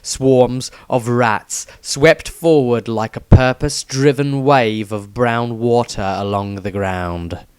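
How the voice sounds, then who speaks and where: A man's voice: medium pitch, fairly even intonation, slow, hardly any pauses, a little mellow, smooth, dry recording. A man reading a scripted fantasy story aloud as narrator, with a dramatic storytelling manner. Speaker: author reading own story.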